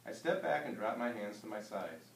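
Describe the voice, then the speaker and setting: A man's voice: fairly low pitch, lively intonation, normal speed, creaky. A man teaching a class, reading a story aloud and putting questions to his pupils. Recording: roomy distant mic with background noise.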